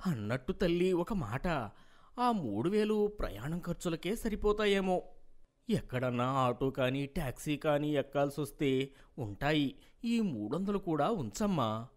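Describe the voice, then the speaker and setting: An actor playing a gentle, indulgent middle-aged father and husband in an animated story, coaxing and soothing. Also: on the high side, a little slow. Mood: kindly.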